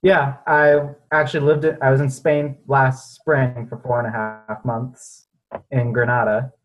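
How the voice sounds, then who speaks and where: A man's voice: medium pitch, unhurried, highs cut off, faint music behind. Laid-back young man, early twenties. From New Hampshire, US. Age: early 20s. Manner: relaxed, laughing, lots of "like". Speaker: university student. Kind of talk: casual video call chat.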